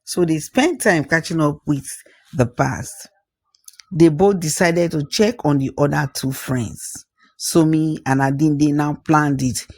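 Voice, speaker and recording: A storyteller narrating a folktale in an expressive way, with interjections and repeated phrases. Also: medium pitch, slow pace, no echo. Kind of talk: spoken folktale narration with acted dialogue.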